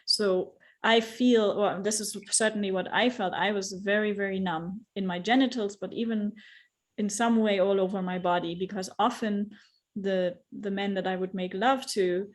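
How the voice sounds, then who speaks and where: A woman guest speaking earnestly about spiritual experience, searching for words and slowing down on key phrases. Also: mid-range voice. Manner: earnest, searching, many restarts and fillers. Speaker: guest, yoga teacher and facilitator. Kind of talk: reflective spiritual conversation.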